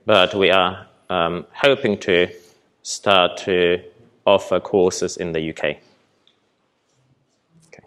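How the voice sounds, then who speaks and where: A young man from poland, a presenter at a festival hall with a lowish voice. he talks in a loose, relaxed way, hesitating often and filling gaps with ums. his tone stays calm and low throughout. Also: slow, pauses often, smooth. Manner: relaxed, hesitant, many ums, light laughs. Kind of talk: conference talk with audience Q&A.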